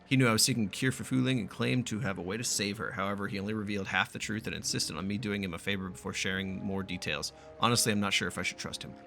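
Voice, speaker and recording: A man's voice: lowish voice, quick pace, vocal fry, few pauses, sharp tone, soft background music. A man streaming a game, reading its text aloud in a casual, profane way and stumbling now and then. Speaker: gaming streamer doing a playthrough.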